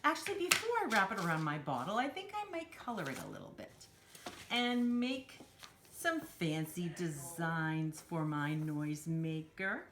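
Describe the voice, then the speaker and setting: A woman's voice: low-pitched, big pitch swings, unhurried, noisy background. A woman hosting a children's storytime, talking simply and encouragingly to young children as she demonstrates a craft. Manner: refers to herself in third person.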